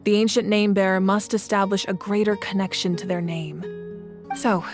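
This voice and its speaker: A woman playing a composed, grave ruler in a video game, speaking in measured, deliberate lines. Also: medium pitch, steady tone, lightly acted. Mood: calm, serious. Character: solemn, composed woman war-god ruler of a nation. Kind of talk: video game voice acting, dramatic exposition. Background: game music.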